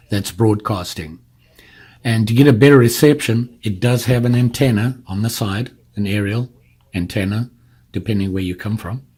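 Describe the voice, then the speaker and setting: A man with a fairly low, husky voice walks through a product in a casual, hands-on way. He explains each part step by step, informally, as he goes. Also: mellow. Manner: informal, explains step by step while handling the device. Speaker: product reviewer.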